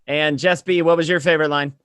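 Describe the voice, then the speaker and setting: A man's voice: on the high side, fairly even intonation, fast talker, a bit bright, clean tone, no room sound, clean studio sound. A man hosting a casual group Q&A, moving from one guest to the next. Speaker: host. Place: remote call from home.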